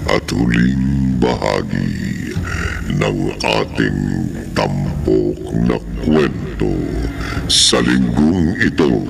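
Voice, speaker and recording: A man's voice: rumbling low, very slow, creaky, over music. A man narrating a horror radio drama as host, in an ominous, dramatic manner.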